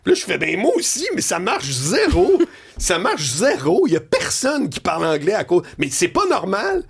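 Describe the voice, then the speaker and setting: A Quebec man with a gravelly, slightly husky voice and a lively pitch that swings up and down. He is a middle-aged radio talk host who tells stories with lots of animation. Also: normal speed. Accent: Quebec French. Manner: storytelling, laughing, swinging pitch. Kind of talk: animated editorial chat. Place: radio studio.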